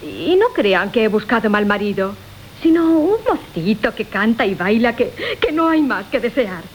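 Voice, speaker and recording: A woman's voice: a little high, very animated, normal speed. A woman playing a scheming, wheedling coquette in a classical radio comedy. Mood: conspiratorial. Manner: theatrical, wheedling. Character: scheming, coquettish woman Bárbara, duping her lovers. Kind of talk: Spanish classical comic radio theatre acting. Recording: hissy 1980 radio broadcast.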